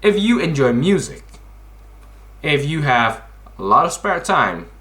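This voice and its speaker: Male video host, very lively pitch swings, bright forceful voice, casual explaining, blunt and emphatic, informal, question-and-answer delivery. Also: unhurried.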